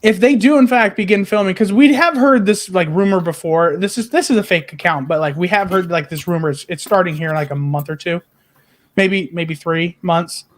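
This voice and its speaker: A man's voice: high-pitched, brisk. A man co-hosting a podcast, chatting casually about fan news with a joking, irreverent manner. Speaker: podcast co-host.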